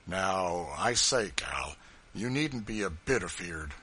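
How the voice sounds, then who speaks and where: A towering, rough-hewn old farmer, as a male narrator puts him on speaks in a rustic Kentucky dialect, loud and folksy with a drawling emphasis. His voice sits a little low but rings bright and forceful. A faint hum sits behind him in this audiobook reading. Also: animated, slow pace, full character voice. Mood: reassuring.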